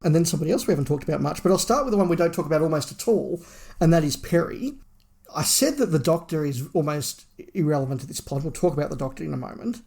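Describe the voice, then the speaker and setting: A man's voice: on the high side, animated, fast, gravelly, a little mellow. A man co-hosting a podcast, giving an opinionated review in casual discussion, often repeating phrases for emphasis. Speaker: podcast co-host reviewing audio drama.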